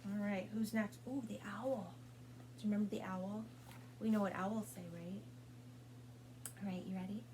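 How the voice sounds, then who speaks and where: A woman's voice: mid-range voice, fairly even intonation, normal speed, pauses often, dark tone, a little hiss or hum, poor audio. A woman leading storytime as a children's librarian, reading and singing along in a sing-song, coaxing way as if to small children, with questions for them.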